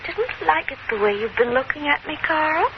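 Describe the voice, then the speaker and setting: Deranged killer wife, sweetly childlike and plaintive; high, swooping voice, 1950s radio horror. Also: female voice, sing-song. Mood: cold, menacing. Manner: theatrical, slow, plaintive delivery. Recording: muffled, noisy 1950s radio recording.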